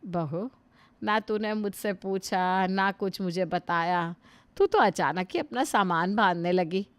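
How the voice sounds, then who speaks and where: A woman's voice: mid-range voice, takes it slowly, smooth, dry recording, close to an everyday voice. A woman playing an elderly, put-upon mother-in-law in a family drama, complaining and lamenting to God. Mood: hurt. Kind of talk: Hindi family drama acting.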